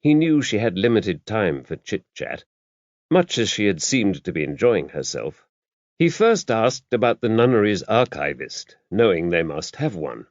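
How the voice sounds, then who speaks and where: A man's voice: on the low side, sing-song, a little slow, no echo, muffled recording. A man reading a mystery novel aloud as scripted audiobook narration.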